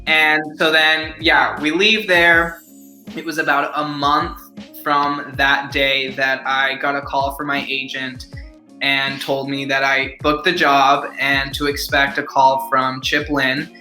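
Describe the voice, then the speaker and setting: A man's voice: mid-range voice, level tone, slow pace, pushed and bright, faint music behind. A young adult man chatting eagerly as a guest actor in a casual interview, with lots of "like" in his speech. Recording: echoey room.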